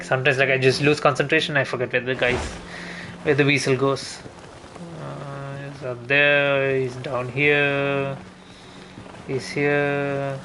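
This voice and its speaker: A man's voice: mid-range voice, gravelly. A man livestreaming a video game, chatting casually and thinking aloud in a rambling, hesitant way as he plays. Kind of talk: casual gameplay commentary, chatting while playing. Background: video game music.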